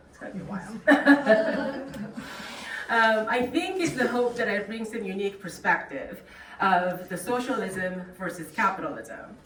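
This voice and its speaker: A woman's voice: mid-range voice, a bit bright. A middle-aged woman from South Korea with a Korean accent, giving a plain-spoken, earnest speech as a guest speaker. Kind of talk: speech at a club meeting. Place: meeting room. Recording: echoey room, distant mic, faint noise.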